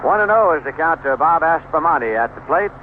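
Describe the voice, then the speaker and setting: A man does live baseball commentary for radio in a somewhat high-pitched voice. He describes the game in measured, clipped phrases. The sound is thin and band-limited, with clear noise over it, like an old AM broadcast from the seventies. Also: expressive. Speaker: baseball play-by-play announcer. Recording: noisy, tinny vintage 1970s AM radio broadcast.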